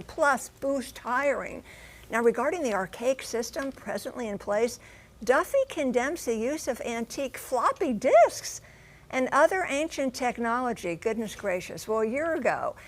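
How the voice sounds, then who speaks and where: High-voiced woman, emphatic news correspondent. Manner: emphatic, folksy exclamations, rhetorical questions. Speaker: TV transportation correspondent. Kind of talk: broadcast news report with live studio discussion.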